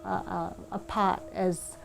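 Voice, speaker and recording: A woman's voice: fairly low pitch, little pitch movement, slow, creaky, music in the background. A middle-aged woman with an Australian accent, telling personal stories casually as an interview guest. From Perth, Australia. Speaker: interview guest, children's TV presenter. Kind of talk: casual anecdotal storytelling.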